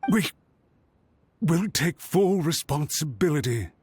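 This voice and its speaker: An actor playing a deferential, anxious official in a video game, speaking formally and apologetically. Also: male voice, on the high side, expressive, slow, darkish tone, breathy edge, exaggerated voice. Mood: anxious pleading. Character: deferential, anxious male official under reprimand. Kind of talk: scripted video game voice acting.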